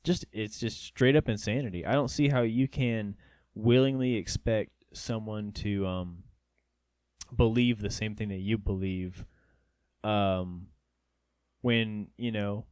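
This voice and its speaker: A man's voice: lowish voice, steady tone, slow pace, darkish tone, clean tone, dry recording. A young man from North Georgia, co-hosting a podcast in a rambling, casual way with many restarts. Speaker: podcast co-host. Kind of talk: casual chat.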